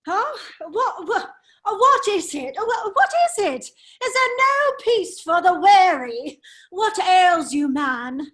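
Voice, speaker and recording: A very high-pitched, foppish English nobleman acted by a woman in a radio play. The delivery is slow and affected, full of dithering exclamations and idle teasing. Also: lively intonation, a bit bright, exaggerated voice. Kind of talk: radio play table read.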